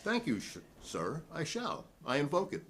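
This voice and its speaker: A man's voice: fairly high pitch, wildly swooping pitch, normal speed, fairly bright tone, breathy edge, full character voice. An American man reading the part of a defiant, principled witness at a 1950s hearing in an emphatic, oratorical way. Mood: stubborn. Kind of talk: dramatic reading of a hearing transcript.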